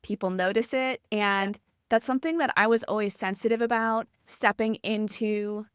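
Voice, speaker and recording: This is a woman with a light Midwestern accent and a sweet, soothing voice in a mid register. She hosts a podcast interview and asks reflective questions in an unhurried, gentle way. Her voice sounds close and dry, with no room around it. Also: medium pitch, smooth, dry recording. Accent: Midwestern American. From American Midwest. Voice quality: sweet, calming voice. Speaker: podcast host.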